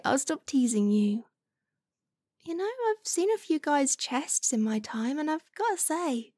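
A woman playing a doting, teasing motherly figure in a soft-spoken ASMR roleplay, coaxing and playful with breathy near-whispers. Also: sits high, no echo, studio quality.

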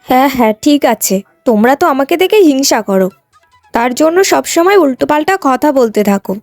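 A woman playing a high-pitched, headstrong young cartoon woman. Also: expressive, moderate pace, a little mellow, faint music behind. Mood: haughty, indignant. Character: vain young long-haired woman, haughty and quarrelsome, later humbled.

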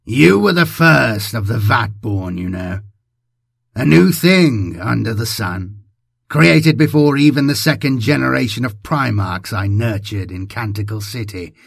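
A man's voice: medium pitch, lively intonation, measured pace, creaky, husky, no echo, exaggerated voice. A man voicing a proud, cold scientist and father, speaking formally and with dry detachment in an audiobook. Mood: reflective. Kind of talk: audiobook character voice.

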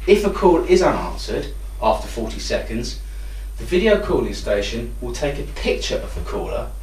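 A man giving an instructional product demonstration. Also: mid-range voice. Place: indoor room with hard walls. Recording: roomy echo, distant microphone.

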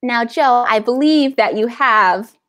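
An American woman in her thirties hosts an online interview. Her voice is high, and she speaks with upbeat, smiling enthusiasm as she introduces things and asks questions. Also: slow pace, fairly bright tone. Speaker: library event host.

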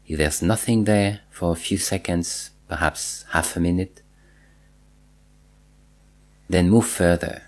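A man guiding a body scan meditation, giving measured instructions in short phrases with pauses and repetition. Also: low-pitched, a little mellow. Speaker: meditation teacher.

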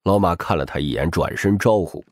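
A man's voice: deep voice, brisk, a little mellow. A man reading scripted, dramatic audiobook narration.

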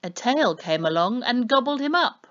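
Menacing storybook boar man played by a woman: growling, snarling, raised pitch, muffled recording. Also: very animated, moderate pace, a bit bright.

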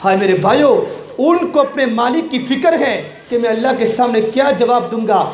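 Male preacher, high voice, emphatic religious storytelling with Arabic quotations, dull lo-fi recording. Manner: emphatic, repeats phrases for effect. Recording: echoey, dull lo-fi, faint noise.

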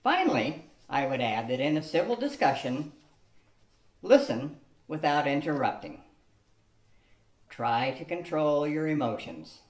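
A man's voice: on the high side, big pitch swings, unhurried, long pauses. An older man playing Elmer, a patient, reasoned husband, reading carefully from a script in an amateur radio play. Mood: explaining. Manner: reads carefully from a script, lecturing tone. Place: studio room with a cloth backdrop. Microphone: stand mic on a tripod. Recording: echoey room.

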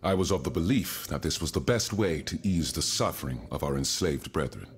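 Casting: dignified planter-reformer, very low voice, grave, eloquent period game drama, some ambient noise. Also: male voice, animated, brisk. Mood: solemn, explanatory. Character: dignified wealthy colonial planter and earnest reformer, proud.

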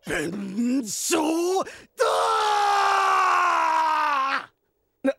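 An actor playing an indignant, pushy shopkeeper who scolds and exclaims in a Japanese audio drama. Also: male voice, extremely high pitch, huge pitch swings, very slow, shouting, heavy background noise, exaggerated voice. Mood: accusing, demanding. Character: indignant, pushy shopkeeper demanding payment for damage.

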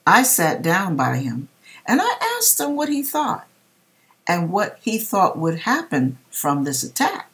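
A middle-aged woman reading aloud and commenting in a deliberate, dramatic way with pauses, recorded in a home kitchen. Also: mid-range voice, huge pitch swings, a bit bright. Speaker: vlogger reading a viewer's dream and commenting. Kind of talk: expressive read-aloud with religious commentary.

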